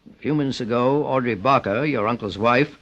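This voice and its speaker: An American man playing a famous private investigator in an old radio drama, speaking in a formal, measured, authoritative way. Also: mid-range voice, fairly bright tone. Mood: grave, accusing. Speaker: actor in radio detective serial. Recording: muffled old radio recording.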